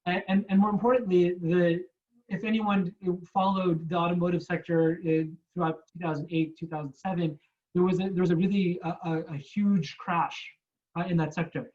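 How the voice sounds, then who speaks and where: A man's voice: high-pitched, steady tone, normal speed, a little mellow, muffled recording. A young man from Canada giving a frank, informal talk with slides, restarting his sentences and repeating words often. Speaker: guest speaker.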